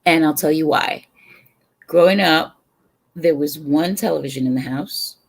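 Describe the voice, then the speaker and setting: A middle-aged woman from the Bronx talks in a low, husky voice with a New York accent. She chats with her livestream viewers at an unhurried pace, rambling and drifting between topics. She is on a webcam at home in front of a brick wall. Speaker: livestream host. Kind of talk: casual livestream chat.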